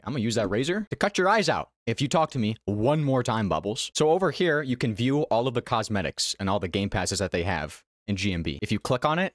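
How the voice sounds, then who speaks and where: A man's voice: on the low side, dry recording, clean studio sound. A man giving casual, chatty commentary on a video game as a YouTuber, talking informally straight to his viewers. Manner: chatty, informal, addresses viewers as "you guys". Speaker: gaming YouTuber.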